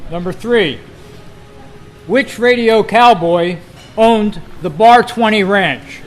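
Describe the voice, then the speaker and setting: A man hosting a quiz, reading trivia questions aloud to a group in a deliberate, phrase-by-phrase way at a club meeting. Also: high-pitched. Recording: noisy live meeting room recording.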